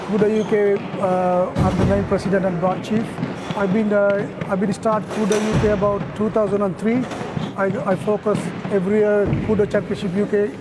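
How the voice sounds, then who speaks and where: Flat-toned man from Sri Lanka. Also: high-pitched. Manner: halting, non-native phrasing. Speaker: organisation president. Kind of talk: event interview. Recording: loud background noise.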